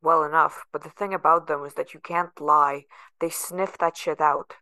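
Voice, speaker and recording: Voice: medium pitch, steady tone, moderate pace, sharp tone, clean tone, no room sound. An actor playing a sardonic, guarded young adult patient held in a facility, narrating in the first person with bitter sarcasm. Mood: brooding, uneasy.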